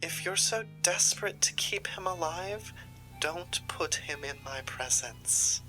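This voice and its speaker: Woman acting haughty, slow-talking sardonic shopkeeper, swooping pitch. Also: lively intonation, bright, forceful tone, soft background music, heavily acted, poor audio. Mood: grave, bargaining. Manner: condescending, theatrical, scolding. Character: haughty, sardonic occult shopkeeper, ruthless and theatrical. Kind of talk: dramatic audio roleplay acting.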